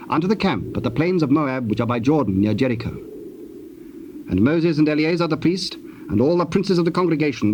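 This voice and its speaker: Formal male narrator, slightly high voice. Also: a little mellow, slight background noise. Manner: formal, measured, declamatory. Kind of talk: scripted scripture narration.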